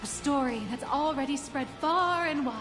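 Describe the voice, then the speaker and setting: An actress playing a poised, knowing female guide in a video game, formal and smoothly persuasive. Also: high-pitched, moderate pace, music in the background, close to an everyday voice. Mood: composed.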